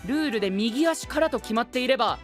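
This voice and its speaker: A man playing a prim, rigid young gentleman knight in an anime-style voice drama, formal and pompous, sprinkling in English exclamations. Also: very high-pitched, lively intonation, fast talker, faint music behind. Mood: insistent, self-assured.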